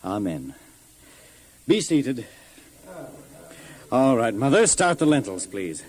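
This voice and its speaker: An actor playing a faithful, authoritative Israelite father in an audio Bible drama for children, with a husky voice. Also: mid-range voice, big pitch swings, takes it slowly, a little mellow, slight background noise. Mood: solemn, orderly. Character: steady, faithful Israelite father, head of a wandering family.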